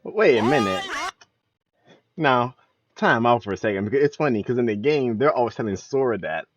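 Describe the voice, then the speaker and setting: A young man giving casual, slangy reaction commentary, playful and expressive, close to the microphone. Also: medium pitch, moderate pace, dull audio, noisy background. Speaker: reaction video host.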